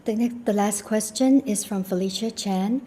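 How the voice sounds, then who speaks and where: A woman moderating a talk on a video call, reading out questions in a somewhat halting way. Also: medium pitch, dark tone. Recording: video call with faint background noise.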